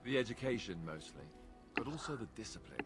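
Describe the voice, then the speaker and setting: An actor playing a wry, self-deprecating young warrior in a fantasy video game, with dry, conversational joking. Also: male voice, lowish voice, sing-song, a little fast. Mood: candid, reflective. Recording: game audio with prominent background music. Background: game music.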